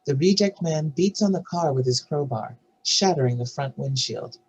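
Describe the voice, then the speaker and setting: A woman's voice: sits low, lively intonation, normal speed, a little mellow, slight background noise, poor audio. A woman reading stage directions as scripted narration for a drama table read.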